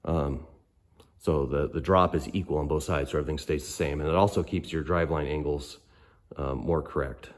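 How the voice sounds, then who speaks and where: A middle-aged man with a hoarse voice from a cold, explaining a suspension lift in an unhurried, hesitant way with many pauses and ums, filmed on a handheld phone in a garage workshop. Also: a bass voice, steady tone. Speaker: off-road fabricator. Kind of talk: casual technical walkthrough. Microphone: handheld phone camera.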